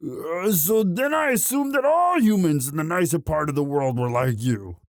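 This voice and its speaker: A man playing a young orc, a gentle himbo sweetheart who talks in a halting, stammering, bashful and earnest way in a romantic roleplay audio. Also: on the high side, animated, dry recording, studio quality. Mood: tender, earnest.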